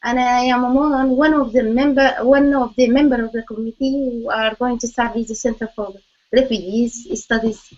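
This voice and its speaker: A woman's voice: on the high side, fairly even intonation, normal speed, a little mellow. A woman from Sudan, a university dean, introducing herself hesitantly with many fillers. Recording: slightly muffled recording.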